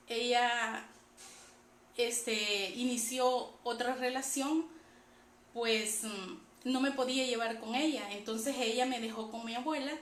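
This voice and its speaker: A woman's voice: a little high, a bit bright. A woman with a Salvadoran Spanish accent gives a personal religious testimony to an online audience in a conversational way. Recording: echoey room with faint background noise.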